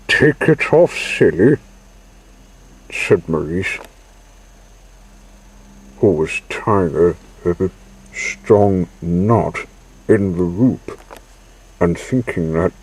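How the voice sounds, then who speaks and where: A man with a low, rough-edged voice narrates a children's story. He goes extremely slowly, in halting little phrases. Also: a little mellow, low-quality recording. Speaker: storyteller reading a children's book aloud. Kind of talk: slow read-aloud children's story narration.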